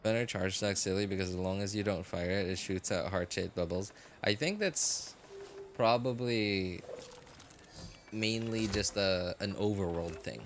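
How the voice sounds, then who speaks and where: Low-voiced tired male streamer. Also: moderate pace, a little hiss or hum. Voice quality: rambling, hesitant, lots of fillers and restarts. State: tired, late at night. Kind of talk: casual live-stream chat while gaming. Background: game music.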